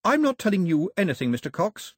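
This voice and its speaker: A man's voice: high voice, very animated, brisk, no echo, clean studio sound, voice pushed up. A man voicing a plucky, proper young girl, prim and forthright, in an audiobook story. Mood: defiant. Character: plucky, proper young English girl, sharp-tongued and questioning.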